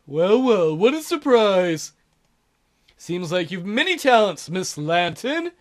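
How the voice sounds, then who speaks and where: A man voicing a smug male villain who mocks his captives in a smooth, gloating way. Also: high-pitched, very animated, slow pace, full character voice.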